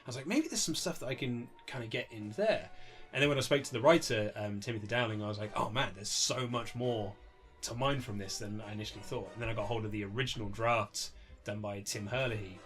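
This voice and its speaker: A man's voice: fairly low pitch, fast talker, a bit bright. A man being interviewed as an author, chatting casually in an anecdotal way, acting out quotes and swearing mildly. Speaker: interview guest, author and writer. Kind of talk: casual animated interview chat. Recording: lo-fi amateur setup, music underneath.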